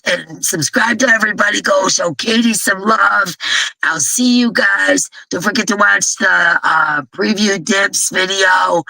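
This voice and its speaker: A blunt, chatty woman hosting her crochet stream, her voice hoarse and gravelly, with a husky edge. The tone is very bright and forward, and the sound is dry and close. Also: on the low side, dry recording, poor audio. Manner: blunt, chatty, rambling, with frequent restarts. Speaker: host of a crochet live stream. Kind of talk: casual live-stream chat.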